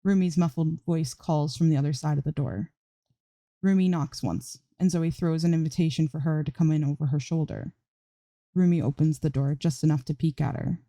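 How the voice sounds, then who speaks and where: A woman's voice: low-pitched, fairly even intonation, moderate pace, mellow, no room sound. A woman narrating a scripted piece of fan fiction as an amateur story reader.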